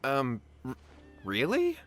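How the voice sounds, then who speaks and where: A man's voice: mid-range voice, huge pitch swings, takes it slowly, fairly bright tone, faint music behind, exaggerated voice. A man playing an anxious, deferential villager in a video game, flustered, polite and pleading. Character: anxious, desperate, deferential young male villager. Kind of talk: English video game voice acting.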